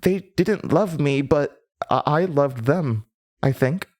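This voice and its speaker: A man playing a disturbed, haunted storyteller in a horror audio drama, speaking in a halting, theatrical way over music. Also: a little high, darkish tone. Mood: pained, longing. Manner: halting, theatrical, with nervous laughter and drops into whispers. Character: disturbed, haunted storyteller recounting a horrifying childhood. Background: prominent music.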